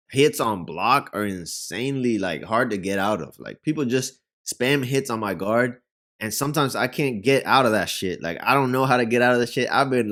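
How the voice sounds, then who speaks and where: Puerto Rican man, low casual voice. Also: fairly bright tone. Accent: Puerto Rican Spanish. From Puerto Rico. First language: Spanish. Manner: chatty, rambling, uses many fillers. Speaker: reaction video host. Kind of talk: casual gaming commentary.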